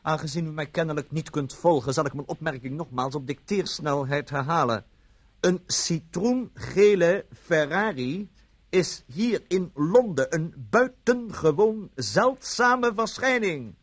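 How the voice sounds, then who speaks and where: A witty, indignant bon vivant in a radio drama. His voice is fairly high and husky, and he speaks with sarcastic emphasis. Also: lively intonation, unhurried, no echo. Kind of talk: radio play acting.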